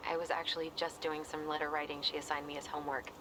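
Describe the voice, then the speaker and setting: A woman's voice: sits low, little pitch movement, fast talker, background noise, lightly acted. An actress playing a wry, affectionate young American woman in a video game, chatty and dryly playful. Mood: open, reflective. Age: young adult. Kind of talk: naturalistic video game dialogue.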